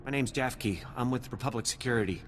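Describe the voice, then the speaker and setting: A man acts out a jittery, earnest security agent for a video game. He has a mid-pitched voice with furtive, halting urgency. It rings in a long reverb with noise behind it. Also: close to an everyday voice. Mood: nervous, furtive. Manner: nervous, halting, hushed urgency.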